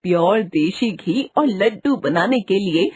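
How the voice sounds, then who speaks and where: A woman's voice: mid-range voice, animated, a little slow, darkish tone, slightly rough. A woman narrating a scripted children's moral story.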